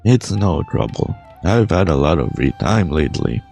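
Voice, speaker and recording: Man reading a deep, creaky courteous dancer woman. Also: big pitch swings, normal speed, mellow, soft background music, full character voice.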